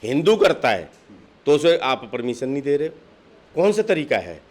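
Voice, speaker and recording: A man's voice: on the high side, big pitch swings, normal speed. A man giving impassioned interview answers as a political official, insistent and argumentative, often firing off rhetorical questions. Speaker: political party district official being interviewed.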